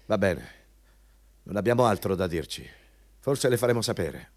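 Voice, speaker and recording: Bullying recruiter, scornful, dismissive, lowish male voice, dry studio sound, radio play. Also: big pitch swings, moderate pace, darkish tone, dry recording. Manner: scornful, dismissive, barking questions. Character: contemptuous, bullying male company recruiter, foul-mouthed anti-communist. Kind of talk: radio drama acting.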